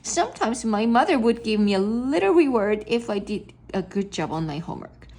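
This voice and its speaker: An easygoing, kindly school principal from a children's storybook, read by a woman, talks patiently and chattily. The voice is husky, with lively rises and falls as he explains. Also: fairly high pitch, normal speed. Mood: persuasive, friendly.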